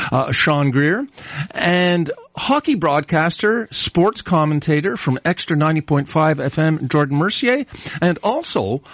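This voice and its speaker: Gravelly man hosting a radio panel. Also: on the high side, no echo. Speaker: radio show host. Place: radio studio. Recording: muffled radio studio sound.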